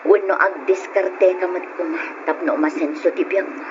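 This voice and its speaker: Actress as young Filipino woman, practical and dissatisfied girlfriend, radio drama; dull, clearly noisy recording. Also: lowish voice, steady tone, moderate pace, natural voice. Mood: serious, disappointed.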